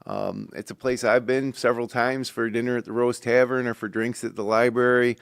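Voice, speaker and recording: A middle-aged man hosting a local studio talk show, relaxed and conversational with plenty of ums and uhs. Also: medium pitch, fairly quick, smooth, no echo. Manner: relaxed, conversational with many fillers. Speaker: host.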